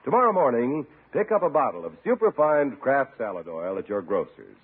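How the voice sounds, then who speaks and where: A man's voice: medium pitch, sing-song, fairly quick, husky. A man announcing from a script in 1950s radio style. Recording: narrow-band old radio broadcast recording.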